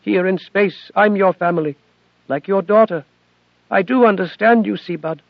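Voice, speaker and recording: A male narrator raises his voice into a gentle, earnest female AI from an audiobook, dutiful and plain-spoken. She sits a few notes above his own voice. The recording is dry and a little dull. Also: high voice, normal speed, vocal fry, dry recording, pitched above the performer's own voice. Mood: pleading.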